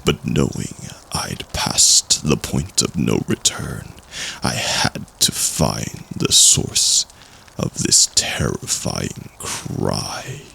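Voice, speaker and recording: A man telling a campfire horror story in a hushed, suspenseful manner with long dramatic pauses. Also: rumbling low, animated, creaky. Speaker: storyteller in an audio roleplay. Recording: faint background noise.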